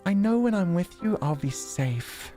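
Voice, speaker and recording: A man voicing a gentle, doting young princess in a visual novel, speaking softly and tenderly in formal storybook phrasing. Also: sits high, expressive, unhurried, soft background music, voice pushed up. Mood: tender, reassuring.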